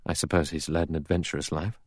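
Man as worried doctor, deep voice, doubtful, hesitant, impatient, educated phrasing, storybook character. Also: flat delivery, fast talker, no room sound, clean studio sound. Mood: dismissive, unsure.

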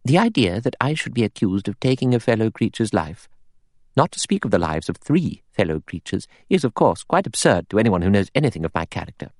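A man's voice: on the low side, sing-song, a little fast, darkish tone, dry recording, studio quality. A man voicing a self-righteous older woman in an audiobook, cold and prim, with a clipped, haughty, formal delivery. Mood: cold, affronted.

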